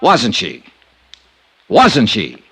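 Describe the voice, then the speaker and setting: An actor playing a hard-nosed, relentless American insurance investigator in a 1950s radio crime drama, questioning in a clipped, pressing manner. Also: male voice, mid-range voice, huge pitch swings, drawn-out words, fairly bright tone, slightly rough, dry recording, full character voice. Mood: accusing, relentless. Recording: vintage 1950s radio recording.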